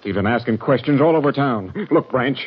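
Nervous, husky henchman. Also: medium pitch, lively intonation, fairly quick. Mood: anxious, urgent. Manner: anxious, urgent. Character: nervous henchman and puppet election candidate. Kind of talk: old-time radio western acting. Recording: dull, muffled vintage radio recording.

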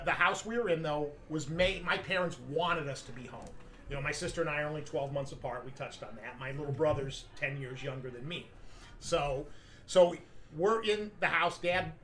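A man in his fifties, a podcast guest who owns a coffee business, telling rambling anecdotes in a loud, carrying voice. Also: on the high side, slight background noise. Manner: loud voice that carries; rambling anecdotes with many restarts. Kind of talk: casual storytelling among friends. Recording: other men's chatter and laughter around him.

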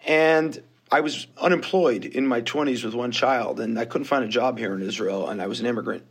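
A man's voice: mid-range voice, fairly quick, breathy edge. Man, fifties, New York accent, podcast guest, candid, self-deprecating. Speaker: guest investor. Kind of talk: podcast interview.

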